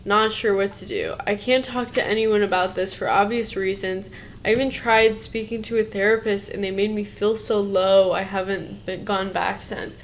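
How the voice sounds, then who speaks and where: A woman reads a post aloud at an ordinary middle pitch, in an everyday conversational voice. She keeps cutting in with blunt, casually profane reactions, and she trips over words and restarts as she reads. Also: slight background noise. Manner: blunt, swears casually, interrupts the reading with reactions; stumbles and restarts while reading aloud. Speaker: co-host reacting to a Reddit post. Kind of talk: reading a post aloud with commentary.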